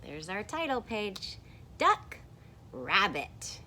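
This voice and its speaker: A woman teacher reading a picture book aloud to children, animated and encouraging, with playful sound effects. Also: mid-range voice, takes it slowly, a little hiss or hum.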